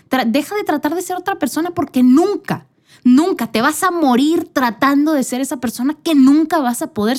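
A woman's voice: sits high, a little mellow. A woman podcast host giving a casual, personal faith talk, conversational and earnest, speaking directly to the listener. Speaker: podcast host and church singer.

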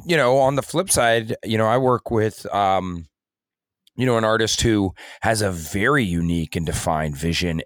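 A man's voice: lowish voice, dry recording, studio quality. A man co-hosting a podcast as an artist manager, talking casually and earnestly as he explains and advises, often saying "you know".

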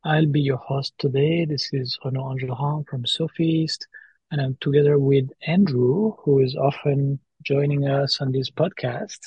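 A man's voice: medium pitch, steady tone, unhurried, breathy edge, dry recording, muffled recording. A man hosting a podcast in casual chat, often repeating words and tagging his points with "right?". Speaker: podcast host.

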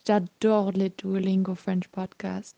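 A woman's voice: mid-range voice, little pitch movement, a little slow, gravelly, dark tone, no echo, highs cut off. A nineteen-year-old woman from Germany, leaving an eager listener message.

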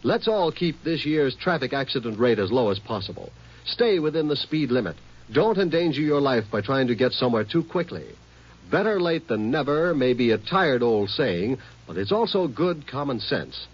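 Male announcer, scripted safety message, emphatic, lively intonation, muffled 1950s radio. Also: medium pitch, normal speed, husky. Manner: earnest, emphatic. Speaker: public service announcer.

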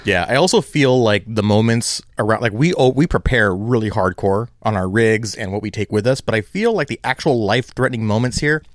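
A man with a somewhat low voice co-hosts a podcast and talks with eager, chatty energy. The sound is close and dry, with no room around it. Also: fairly quick, few pauses, no room sound. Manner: enthusiastic, laughs along. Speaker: podcast co-host. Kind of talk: casual chat.

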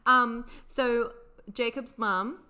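A woman's voice: a little high, fairly even intonation, extremely slow, sharp tone. A woman co-hosting a casual chat, explaining slang with frequent laughter. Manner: laughs often, explains patiently. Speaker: podcast co-host.

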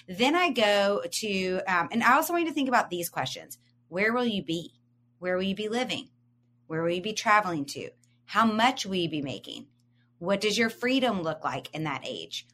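A woman's voice: mid-range voice, fairly bright tone. A woman in her forties co-hosting a podcast, coaching listeners in an upbeat, emphatic way and asking them questions. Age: 40s. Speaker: podcast co-host.

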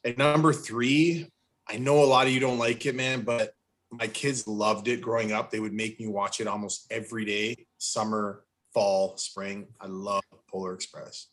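A man's voice: fairly low pitch, little pitch movement, moderate pace, a bit bright. Middle-aged man chatting on a podcast. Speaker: podcast panelist. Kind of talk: casual panel chat.

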